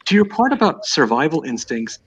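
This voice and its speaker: A man from the United States calling into a live-stream debate, arguing fast and insistently and often repeating himself. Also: a little high, sing-song, fairly bright tone, breathy edge. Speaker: caller. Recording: muffled lo-fi call audio.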